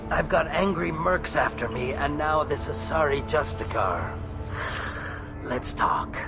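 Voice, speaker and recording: A man's voice: a little high, lively intonation, a little slow, vocal fry, over music. A man acting as a shifty, nervous alien merchant in a video game, with a wheedling, hesitant, theatrical delivery. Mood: anxious.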